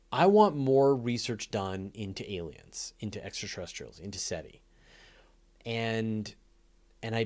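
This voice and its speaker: A man hosting a casual live space Q&A, talking off the cuff in an earnest, rambling way that halts and then rushes on. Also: medium pitch, a little mellow. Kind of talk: casual unscripted livestream chat.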